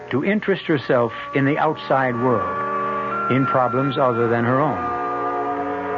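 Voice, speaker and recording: A man hosting and narrating a radio drama in a measured, scripted storyteller delivery. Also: mid-range voice. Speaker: host and narrator. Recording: dull old radio broadcast recording.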